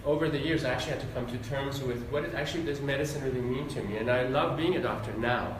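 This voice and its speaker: A man born in Iran and raised in Ohio, with a Midwestern accent, giving a relaxed, rambling interview answer full of fillers. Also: medium pitch, very fast, a little hiss or hum. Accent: Midwestern American. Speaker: interview guest, doctor and psychologist. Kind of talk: casual conversational interview. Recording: very echoey room, mic at a distance.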